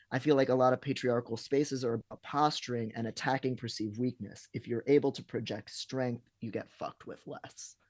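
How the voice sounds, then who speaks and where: A man's voice: medium pitch, no room sound. A man talking loosely on a live stream, stopping and restarting his sentences. His voice sits in an ordinary middle register. It is close and dry, with no room around it. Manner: halting, filler-heavy, frequent restarts. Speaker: stream co-host. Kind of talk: casual chat.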